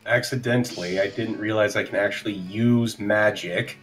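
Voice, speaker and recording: A man's voice: on the low side, steady tone, moderate pace, very noisy. A man taking part as a player in a tabletop roleplay game, chatting casually and describing his character's moves. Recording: faint music behind. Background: faint music.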